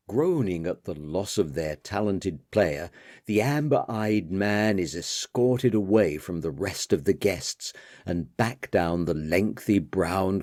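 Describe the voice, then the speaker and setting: Slow, low-voiced man narrating fiction. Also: a little mellow, dry recording, studio quality. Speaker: audiobook narrator. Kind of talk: scripted literary fiction narration.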